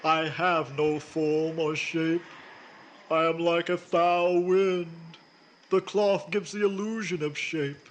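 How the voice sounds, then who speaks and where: An actor playing Death, a weary, formless male spirit hiding under a sheet, who speaks in a slow, mournful and solemn way in an old-time radio drama. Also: on the high side, steady tone, a little hiss or hum.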